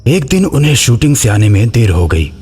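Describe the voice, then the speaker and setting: A man's voice: mid-range voice, sing-song, brisk, darkish tone, husky, faint music behind. A man reading a scripted horror story as its narrator.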